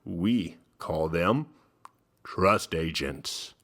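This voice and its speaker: A man playing a pompous theatrical gentleman host, giving a mock-solemn dramatic reading with drawn-out pauses. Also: deep voice, lively intonation, slightly rough, full character voice.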